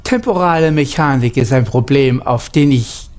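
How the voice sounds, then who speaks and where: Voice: medium pitch, moderate pace, a little mellow. A person delivering scripted starship crew dialogue in character for a sci-fi role-play.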